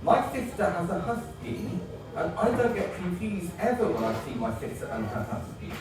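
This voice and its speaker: A man's voice: high voice, big pitch swings, moderate pace. A man playing a naive mummy's-boy storyteller. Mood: earnest. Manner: naive, rambling, earnest with many ums. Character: childlike 26-year-old man-child, mummy's boy, oblivious. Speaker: comedy character hosting kids' story time. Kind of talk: live stand-up character comedy. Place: live comedy stage. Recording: echoey live venue from a distance, noisy.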